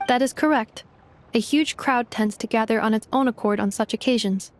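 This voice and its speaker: A woman voicing a polite, precise robot engineer girl in a video game, formal, measured and deadpan. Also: on the high side, slight background noise, close to an everyday voice. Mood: calm, matter-of-fact.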